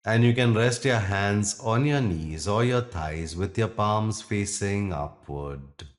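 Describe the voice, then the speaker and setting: A man with a deep voice leads a guided meditation as a yoga nidra teacher. He speaks very slowly, giving gentle instructions in short phrases. Long pauses separate his words. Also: lively intonation.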